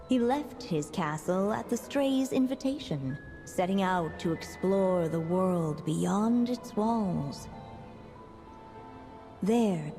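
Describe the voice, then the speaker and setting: A woman voicing a storybook narrator in a video game, telling a fable in a measured way. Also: on the low side, a little mellow. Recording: long reverb on the voice. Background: music.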